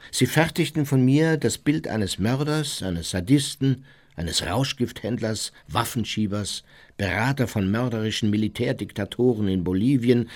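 An actor playing an elderly, unrepentant Nazi war criminal who justifies himself in formal, measured radio drama monologue. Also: male voice, on the low side, a little mellow. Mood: aggrieved, measured. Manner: formal, measured, self-righteous.

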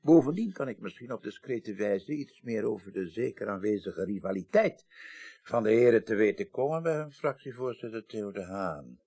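A man playing a courteous, fatherly parliamentary chairman in a radio play, speaking formally and deliberately. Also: on the low side, expressive, mellow, slightly rough. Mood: measured, formal. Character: courteous senior parliamentary chairman, measured and paternal. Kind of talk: 1980s Dutch radio play acting.

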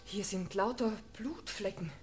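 An actress playing a young daughter in a radio drama, excitable and urgent. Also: female voice, medium pitch, a little slow, faint music behind, natural voice. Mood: alarmed. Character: young daughter, brisk then frightened. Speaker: actor in a radio play. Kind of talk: German radio drama acting. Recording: echoey room with background noise.

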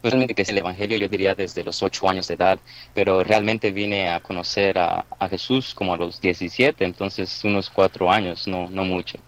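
A man's voice: sits low, fairly even intonation, a little fast, muffled recording. A man in his twenties with a Mexican accent answering interview questions, polite and earnest. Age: 20s. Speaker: interview guest. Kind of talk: recorded online interview.

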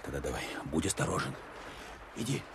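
An actor playing a gruff, wary Soviet army captain who gives terse, authoritative, secretive orders in a war radio drama. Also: male voice, extremely low pitch, very animated, a little fast, noisy background, heavily acted. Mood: commanding, hushed. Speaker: commanding officer.

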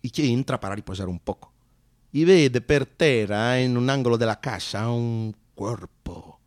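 A man playing a blind old Colombian priest figure with a Spanish accent, telling a story slowly and dramatically in a stage monologue. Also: medium pitch, a little mellow, no echo, studio quality, natural voice. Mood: hushed, suspenseful. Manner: slow, dramatic storytelling mixing Italian with Spanish.

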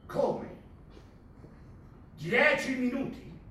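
In a very reverberant theater, a scornful, bullying army colonel. His voice is pitched very high and has a hard, piercing edge. He drags out barked orders and contemptuous questions with stagey force. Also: pushed and bright, a little hiss or hum, heavily acted. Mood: scornful, impatient. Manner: barking, drawn-out, contemptuous questions. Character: bullying, foul-mouthed army colonel of Argentine junta, scornful and paranoid. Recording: echoey stage, somewhat distant mic.

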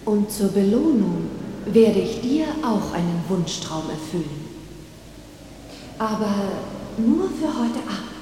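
Gentle ghostly mother in echoing reverb. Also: mid-range voice, big pitch swings, slow. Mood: kindly, gracious. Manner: tender, reassuring, solemn. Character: gentle angelic ghost of a loving dead mother. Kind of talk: film audio drama acting. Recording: echoey hall, distant, faint noise.

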